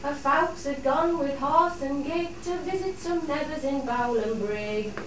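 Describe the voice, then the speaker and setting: Female ballad singer, Cumbrian dialect, high voice, strong echo, set back from the mic. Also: unhurried. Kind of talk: dialect folk ballad singing with refrain. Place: studio theatre. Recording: very echoey, distant, faint noise.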